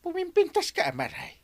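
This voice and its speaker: A man's voice: very high voice, huge pitch swings, normal speed, heavily acted. A comic radio-drama old village captain, stingy and vain. His voice swings wildly up and down as he hems, haws and exclaims. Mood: flustered, teasing. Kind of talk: comedic radio drama acting.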